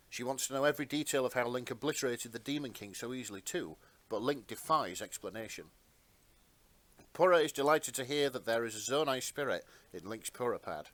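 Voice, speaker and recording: A man's voice: medium pitch, a bit bright. A man narrating fan fiction in a dramatic reading style.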